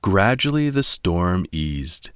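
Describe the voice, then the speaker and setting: A man's voice: deep voice, very animated, slow pace, clear, resonant tone. A man narrating a children's storybook aloud. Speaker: storybook reader.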